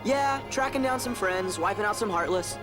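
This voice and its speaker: A plucky teenage hero for a video game. He sounds very high for a male, boyish and upbeat, with loud music behind him. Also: animated, moderate pace. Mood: friendly, cocky. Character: eager, plucky teenage boy adventurer. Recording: hall reverb under loud music.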